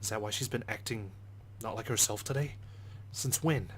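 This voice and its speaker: A man's voice: on the low side, moderate pace, a little hiss or hum. A man reading a visual novel aloud in the first person with a dry, sardonic delivery. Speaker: let's play narrator.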